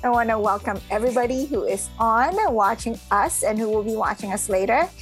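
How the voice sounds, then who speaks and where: A woman's voice: fairly high pitch, a little fast, soft background music. A woman hosting a live podcast interview, bubbly and emphatic with lots of fillers. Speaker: podcast host.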